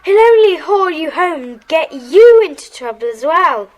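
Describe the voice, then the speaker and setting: Woman as very high-voiced, earnest schoolboy. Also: big pitch swings, unhurried, darkish tone, full character voice. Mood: regretful. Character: earnest, regretful schoolboy forced to leave his club. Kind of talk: children's audio drama acting.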